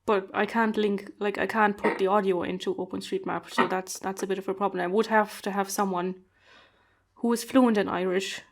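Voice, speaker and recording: Brief: woman host, non-native English, mid pitch, halting and thoughtful, video call. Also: fairly even intonation. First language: not English. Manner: thoughtful, hesitant with many fillers and laughs. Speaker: podcast host. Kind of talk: casual conversation.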